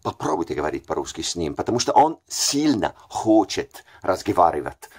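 Deep-voiced hesitant Italian man. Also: sing-song, a little fast, darkish tone, husky. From Italy. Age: 40s. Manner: hesitant, many fillers and self-corrections. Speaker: language learner vlogger. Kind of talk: casual talk to camera. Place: small bright room indoors.

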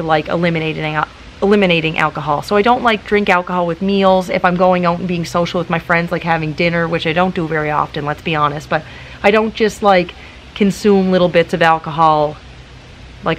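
Woman, late 30s, calm, practical, casual chatty vlog, lower voice, outdoor garden, faint wind. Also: low-quality recording. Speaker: YouTuber. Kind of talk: casual vlog talk to camera. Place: outdoors in a garden in front of hedges.

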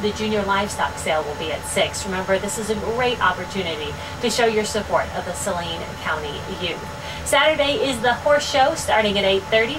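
Young woman reading fair results off a script, upbeat and steady, bit far from the mic, hissy. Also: mid-range voice. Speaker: radio station fair update host. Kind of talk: scripted news update reading. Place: studio with dark backdrop. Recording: distant mic with noticeable hiss.

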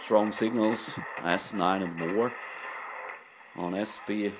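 Man, low voice, halting product demo, clear radio noise behind. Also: fairly even intonation. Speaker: radio collector and presenter. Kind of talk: informal product demonstration. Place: indoors at a desk. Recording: noisy room with radio static.

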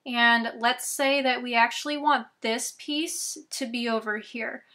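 A young woman in her twenties who is losing her voice, walking viewers through video editing steps slowly and clearly from a small bedroom. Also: a little high, steady tone, bright, forceful tone. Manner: slow, step-by-step instructions, chatty asides. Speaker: YouTube tutorial host. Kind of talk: screen-recorded software tutorial.

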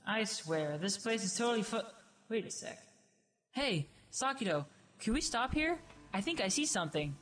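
A woman's voice: medium pitch, very animated, normal speed, a bit bright. A woman voicing a sarcastic, brash young dead woman in a fan radio play, snappy and exclaiming. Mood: snarky.

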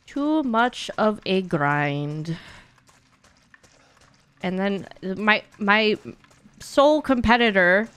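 Animated young woman streamer, casual and blunt. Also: mid-range voice, extremely slow, pauses often, clean tone. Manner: blunt, sweary, reactive. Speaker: game streamer and speedrunner. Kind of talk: casual live-stream gameplay commentary. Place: small indoor streaming room at home.

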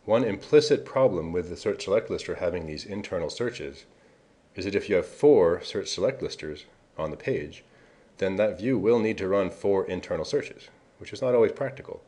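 A man's voice: low-pitched, animated, moderate pace, warm tone. A man giving a casual screencast tutorial, explaining configuration code and correcting himself now and then. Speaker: software developer presenting a tutorial. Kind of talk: screencast walkthrough.

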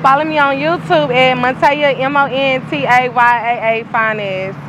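A woman's voice: sits high, fairly even intonation, normal speed. A young American woman talking casually as a guest prankster on location. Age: young adult. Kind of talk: casual chat and prank confrontation. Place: outdoor lot at night, large store aisle. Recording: noisy parking lot and store.

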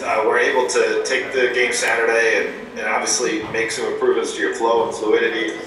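A male sports reporter asking a long, rambling press conference question. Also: mid-range voice. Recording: distant echoey room with background noise.

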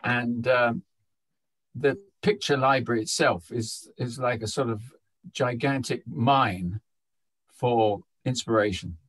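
A male poet on a video call, talking informally and haltingly as he searches for words. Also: mid-range voice, fairly bright tone. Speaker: poet answering questions. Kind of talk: informal reflective talk. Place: indoors at home, on a group video call.

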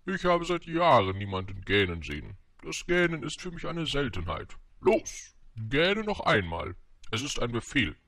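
A man's voice: mid-range voice, big pitch swings, normal speed, sharp tone, no echo, voice pushed up, exaggerated voice. A man playing a vain but kindly absolute king in a storybook, pompous and grandly commanding.

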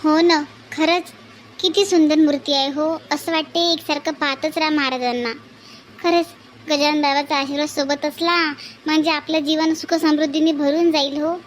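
A woman voicing a sweet, devout young newlywed bride in a cartoon, gentle and reverent. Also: high-pitched, steady tone, normal speed, a little hiss or hum, lightly acted.

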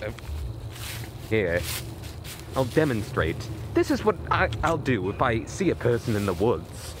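A man's voice: mid-range voice, very animated, unhurried, background noise. A man vlogging outdoors in the woods at night on a handheld camera, stammering nervously with repeated words and voice cracks. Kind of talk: mock-scared comedic night vlog.

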